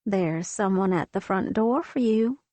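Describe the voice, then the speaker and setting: A woman voicing a polite, timid maid who sounds worried, in a slow, mellow voice. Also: medium pitch, no echo, natural voice.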